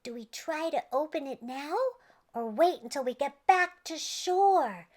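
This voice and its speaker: A woman voicing an eager, bossy young pirate princess in a children's storybook, excited and emphatic. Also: high voice, measured pace. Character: eager pirate princess girl, bossy, cheerful crew leader.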